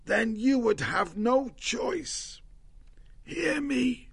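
A wounded shrew leader from an animal storybook, very husky and creaking. The voice sits very high for a man and moves slowly, solemn and commanding. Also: expressive, a bit bright, exaggerated voice. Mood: stern. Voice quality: hoarse, gravelly. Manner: slow, laboured, solemn.

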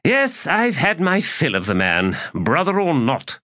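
A man's voice: on the high side, huge pitch swings, a little slow, bright, forceful tone, no echo, studio quality, pitched above the performer's own voice, exaggerated voice. A man voicing a whimsical, self-satisfied young king in an audiobook, with florid, mock-pompous phrasing. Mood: airy, mock indignant. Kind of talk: audiobook character dialogue.

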